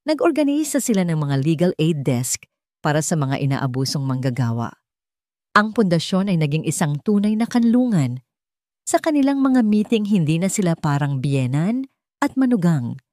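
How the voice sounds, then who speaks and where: Filipino woman reading a dramatic story, low voice, slow deliberate phrasing, dry studio-ish sound. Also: a little mellow, no room sound. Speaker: story narrator. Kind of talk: dramatic scripted audio story narration.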